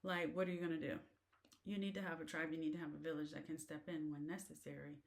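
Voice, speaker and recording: Chatty woman with a lowish voice. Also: little pitch movement, quick pace, gravelly. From United States. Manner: chatty, rambling, many fillers. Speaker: vlogger on living abroad. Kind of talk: casual talk to camera. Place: in a car.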